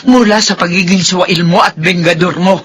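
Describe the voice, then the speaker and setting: A woman's voice: fairly low pitch, steady tone, moderate pace, fairly bright tone, slight background noise, close to an everyday voice. A woman playing a stern, emotional older mother in a Filipino radio drama, speaking dramatically and emphatically. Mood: stern, accusing.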